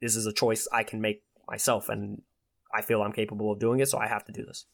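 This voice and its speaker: A man's voice: fairly low pitch, level tone, fast, gravelly. A twenty-year-old man chatting casually in a slangy, earnest way. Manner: slangy, earnest, with frequent "like" and "bro". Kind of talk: casual chat.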